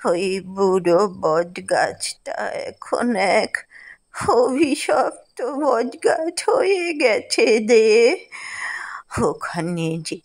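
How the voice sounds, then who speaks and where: A woman voicing a fearful, protective village aunt in a horror cartoon, pleading in a drawn-out, ominous way. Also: mid-range voice, sing-song, dry recording, clean studio sound, pitched above the performer's own voice, heavily acted. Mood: fearful warning.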